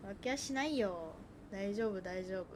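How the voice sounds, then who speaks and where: A woman's voice: mid-range voice, animated, quick pace, background noise. A woman streaming a video game, reacting casually and playfully in short remarks. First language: Japanese. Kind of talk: casual livestream play commentary. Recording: game music behind the voice.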